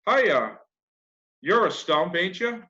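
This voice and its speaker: A man's voice: high-pitched, sing-song, slow pace, sharp tone, highs cut off, pitched above the performer's own voice, full character voice. A man reading a children's classic, voicing a boastful, folksy young boy who barks orders and teases. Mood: teasing. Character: boastful young boy playing a steamboat captain.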